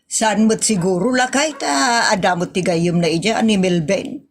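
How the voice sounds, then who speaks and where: A woman playing a teasing, nagging mother and wife in a comedy audio drama, with a chiding, expressive manner. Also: mid-range voice, normal speed, lightly acted. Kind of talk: Ilocano comedy radio-style drama acting.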